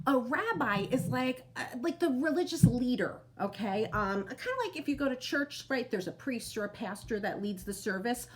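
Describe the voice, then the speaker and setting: A woman storyteller with a slightly high voice and very animated intonation. She reads to children expressively and addresses them directly in chatty, interactive asides. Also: normal speed, few pauses, low-quality recording.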